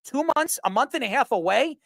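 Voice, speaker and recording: Creaky-voiced, emphatic sports talk host. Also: mid-range voice, lively intonation, fairly quick, a bit bright, no echo, studio quality. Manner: emphatic, declamatory. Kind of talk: opinionated sports commentary.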